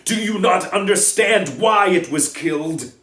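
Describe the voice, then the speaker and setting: A man voicing a calm, noble male centaur who speaks gravely and earnestly. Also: sits low, a little slow, distant mic. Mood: bellowing. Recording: echoey room.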